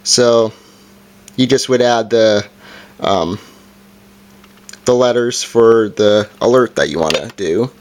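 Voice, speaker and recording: A man's voice: lowish voice, slow pace, long pauses. A man giving an informal, hands-on product review in a casual explaining style, recorded indoors. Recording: background noise with handling clicks.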